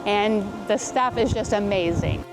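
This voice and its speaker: A woman's voice: mid-range voice, measured pace, over music, poor audio. A woman who organizes charity work, speaking unscripted in an interview.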